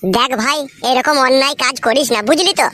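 Man doing a squeaky, fast-scolding cartoon buddy. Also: pushed and bright, no echo. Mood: exasperated. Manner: foul-mouthed, hectoring. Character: scolding, exasperated young male friend. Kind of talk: crude comedy cartoon dialogue.

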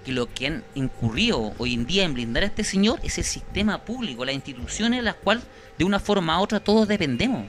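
Indignant Chilean man, slightly high. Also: sing-song, fairly quick, a bit bright, soft background music. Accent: Chilean Spanish. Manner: insistent, indignant, repeats words while searching. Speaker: guest, victim's brother. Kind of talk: studio interview. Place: small indoor studio. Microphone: handheld mic.

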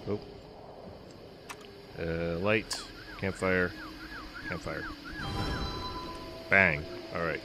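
Deep-voiced man, congested, over music. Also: steady tone, drawn-out words, long pauses, noisy background. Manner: muttering to himself while playing. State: congested from a cold. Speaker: game commentator. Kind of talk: casual let's-play commentary. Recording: voice with loud background music. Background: background music.

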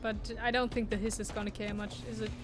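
A woman's voice: mid-range voice, level tone, quick pace, over loud music. Chatty German woman streaming a game. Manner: chatty, laughs often, reads chat aloud. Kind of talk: casual gameplay commentary.

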